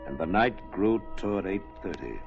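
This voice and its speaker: Very deep suspense narrator. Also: male voice, lively intonation, moderate pace. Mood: grave, measured. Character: grave, storytelling male narrator of a suspense tale. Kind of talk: mid-century radio drama narration. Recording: muffled old radio recording. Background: music.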